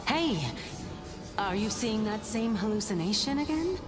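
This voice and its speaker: An actress playing a composed, curious, gently teasing female spirit companion in a video game, in a measured, theatrical manner. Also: medium pitch, animated, over music, natural voice. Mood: puzzled.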